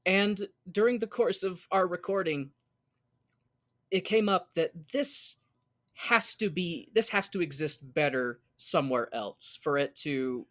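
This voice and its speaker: Bright-voiced American man, halting delivery. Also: sits high. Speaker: podcast co-host. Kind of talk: casual podcast chat.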